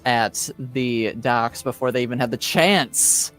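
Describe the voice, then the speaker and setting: A man acting as game master, recapping a tabletop roleplaying session in a halting, hesitant way with long filled pauses. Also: mid-range voice, fairly bright tone, faint music behind, poor audio.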